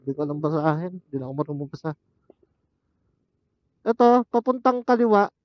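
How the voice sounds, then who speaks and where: A man's voice: on the high side, expressive, a little fast, no echo. A man chatting casually and thinking aloud as a motorcycle vlogger while he rides, speaking into a helmet intercom headset. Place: outdoors, riding a motorcycle on mountain roads. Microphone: helmet intercom headset used as external mic. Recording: muffled helmet intercom microphone.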